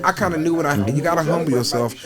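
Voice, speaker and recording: A man's voice: fairly high pitch, racing, a loud crowd. An American man chatting casually as a co-host, joking and slangy as he swaps anecdotes. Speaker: podcast co-host, radio host.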